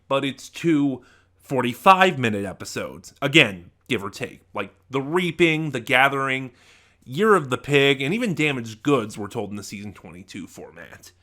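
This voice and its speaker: A man giving a casual, rambling review, halting and correcting himself, with deliberate emphasis on key words. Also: medium pitch, pushed and bright. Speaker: audio drama reviewer.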